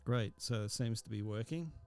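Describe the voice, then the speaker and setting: A man teaching a music software tutorial, thinking aloud step by step with frequent ums. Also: mid-range voice, normal speed. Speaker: music technology instructor. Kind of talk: screen-recorded software tutorial.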